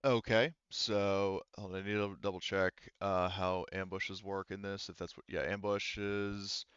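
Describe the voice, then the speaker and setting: A man's voice: sits low, level tone, a little slow, a bit bright, smooth, dry recording. A man acting as game master, talking casually and thinking aloud hesitantly over a slightly dull, dry online voice chat. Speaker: game master of a tabletop roleplaying game.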